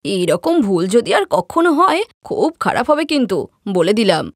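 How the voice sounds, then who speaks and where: A woman's voice: medium pitch, very animated, moderate pace, no room sound, studio quality. A woman voicing an arrogant, scheming young sister-in-law in a cartoon story, with an accusing, melodramatic, taunting manner. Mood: mock outrage. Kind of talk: Bengali cartoon story voice acting.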